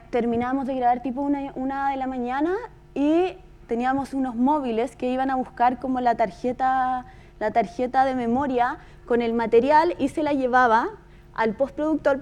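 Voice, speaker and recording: A woman with a Chilean accent gives an informal talk as a producer. Her voice sits a bit high, and she tells her story conversationally, repeating words for emphasis. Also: a little mellow. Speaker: podcast producer giving a talk.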